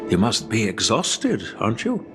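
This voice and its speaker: A man's voice: lowish voice, wildly swooping pitch. Grave elderly grandfather, music behind. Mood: gentle. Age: older. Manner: measured, formal, solemn. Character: elderly grandfather swordsmith, grave, caring, dignified. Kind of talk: scripted game dialogue. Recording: background music under the voice.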